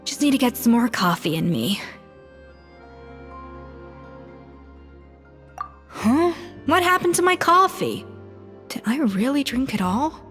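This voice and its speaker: A woman's voice: fairly high pitch, big pitch swings, normal speed, fairly bright tone, faint music behind. An actress playing a proud, exacting young woman chef in a video game, speaking in a firm, articulate, self-assured way. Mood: weary. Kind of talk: video game cutscene voice acting.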